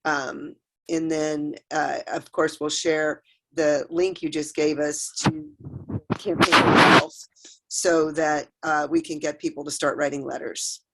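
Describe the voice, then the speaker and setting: A woman taking part in a workshop on a video call, speaking earnestly and haltingly, often repeating words. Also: low-pitched, little pitch movement, gravelly, fairly bright tone, slight background noise, poor audio. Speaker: workshop participant. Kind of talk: heartfelt comments on a video call.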